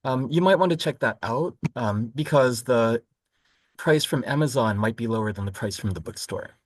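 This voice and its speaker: A man from Florida who teaches college literature, walking students through a course overview in a relaxed, explanatory way with frequent ums and self-corrections. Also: medium pitch, moderate pace, dry recording. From Florida, United States. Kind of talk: recorded course overview lecture.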